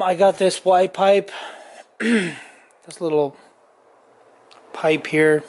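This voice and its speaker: Tired man in his forties, slow rambling talk, garage workshop, on-camera mic, faint background hum. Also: on the high side, poor audio. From Southern California. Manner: rambling, trails off, lots of ums and self-corrections. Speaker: garage vlogger. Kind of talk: casual rambling chat to camera. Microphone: on-camera mic, camera at arm's length and on bench.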